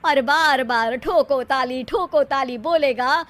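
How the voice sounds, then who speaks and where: A woman's voice: high-pitched, slow, fairly bright tone. A weary middle-aged father in a cartoon, voiced by a woman. He speaks unhurriedly, with dry sarcasm and resignation. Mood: drily sarcastic.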